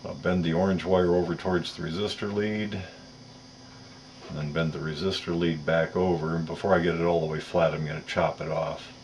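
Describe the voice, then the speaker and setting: A man's voice: very deep voice, creaky, a little hiss or hum, poor audio. A man talking through hands-on work step by step, explaining each detail methodically as an instructor. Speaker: electronics tutorial presenter.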